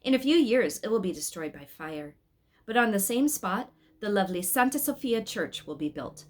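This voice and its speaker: A woman voicing a reassuring male angel in a storybook, with a measured, proclaiming manner. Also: mid-range voice, expressive, natural voice. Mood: informative. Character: male guardian angel, calm, authoritative and reassuring.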